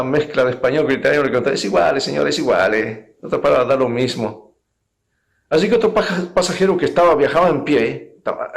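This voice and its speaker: A man preaching a sermon as a pastor, speaking earnestly and emphatically and addressing his listeners directly. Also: on the high side. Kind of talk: sermon with illustrative anecdotes.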